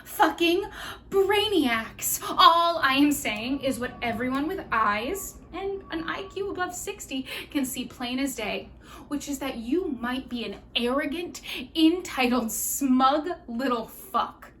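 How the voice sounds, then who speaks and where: A woman's voice: high-pitched, lively intonation, slow pace, a bit bright, slight background noise, full character voice. A woman acting a scathing, sarcastic, self-righteous young woman in a theatrical monologue, with biting mockery and emphatic stresses. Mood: contemptuous.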